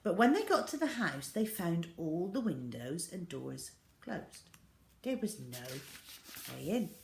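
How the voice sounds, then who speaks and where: A woman's voice: fairly low pitch, very animated, slow, gravelly, echoey room, a little hiss or hum. A woman reading a children's story aloud expressively as storyteller.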